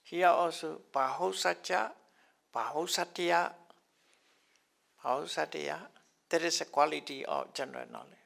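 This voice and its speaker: An older man who is not a native English speaker teaches scriptural terms to a class in a halting way, repeating words and chuckling often. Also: a little high, gravelly, fairly bright tone. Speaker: Buddhist teacher.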